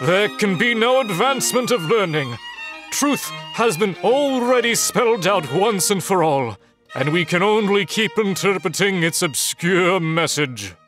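A man's voice: high-pitched, animated, measured pace, music in the background. A man reading scripted narration for a comic dub in a grand, dramatic manner.

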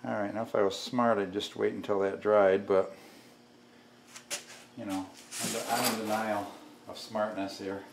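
A man's voice: fairly low pitch, level tone, measured pace, vocal fry, pauses often. A middle-aged man thinking aloud as he narrates a hands-on build, folksy and self-deprecating, half muttering to himself and the viewer. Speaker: DIY workshop host. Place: indoor garage workshop. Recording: echoey workshop, clattering tool noise.

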